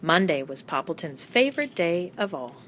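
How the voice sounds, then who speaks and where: A woman narrating a children's storybook aloud with expressive storytelling delivery. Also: fairly low pitch, moderate pace, a little hiss or hum. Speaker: storybook reader.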